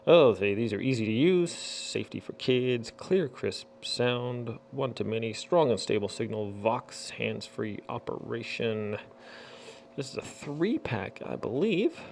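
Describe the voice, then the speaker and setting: Casual creaky-voiced man doing an unboxing. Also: medium pitch, lively intonation, a little slow, a little mellow, slight background noise. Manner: folksy, muttering to himself as he works. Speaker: unboxing video host. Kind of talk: casual product unboxing chat.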